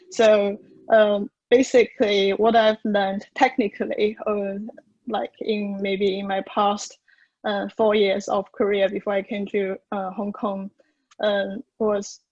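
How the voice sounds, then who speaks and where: Flat-voiced hedging young woman. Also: medium pitch, dry recording, highs cut off. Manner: hedging, many fillers and restarts. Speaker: panelist. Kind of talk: conference panel talk.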